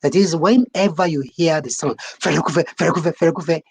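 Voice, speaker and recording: A man in an explanatory conversation, pausing to find his words. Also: fairly high pitch, creaky, crowd noise. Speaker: co-presenter explaining language.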